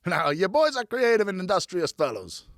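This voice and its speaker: A man's voice: high voice, big pitch swings, moderate pace, gravelly, a bit bright, no room sound, studio quality, pitched above the performer's own voice, full character voice. A man voicing a crusty, enthusiastic old seafarer in a storybook, goading in a hearty, salty nautical dialect.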